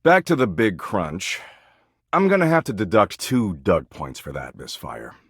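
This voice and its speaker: Deep, smug slacker dread incarnate. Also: male voice, huge pitch swings, unhurried, a bit bright, breathy edge, dry recording, studio quality, exaggerated voice. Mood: mocking, sardonic. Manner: sardonic, dismissive, slacker-cool delivery. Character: smug, slangy male embodiment of existential dread. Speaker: voice actor in a dating simulator game. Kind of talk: video game character dialogue.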